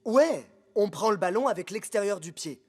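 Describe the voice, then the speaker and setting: A man voicing an earnest, determined young male footballer in an animated series, eagerly explaining. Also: sits high, moderate pace. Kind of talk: French animated series dubbing.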